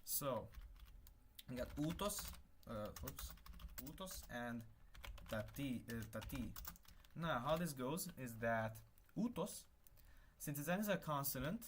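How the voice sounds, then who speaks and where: Young man, Hungarian accent, mid-pitch, casual and hesitant, self-correcting, faint hiss behind. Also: lively intonation, takes it slowly, pauses often. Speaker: conlang creator. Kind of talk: casual screen-share explanation.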